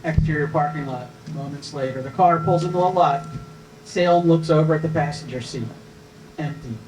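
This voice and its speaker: A man's voice: fairly high pitch, moderate pace. A man narrating stage directions and scene headings at a screenplay table read. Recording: echoey room with clear background noise.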